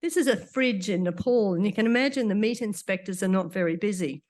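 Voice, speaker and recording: Croaky-voiced woman giving a lecture. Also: mid-range voice, a little fast, a little mellow. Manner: conversational, with dry asides. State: croaky after illness. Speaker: doctor giving a conference talk. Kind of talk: conference lecture.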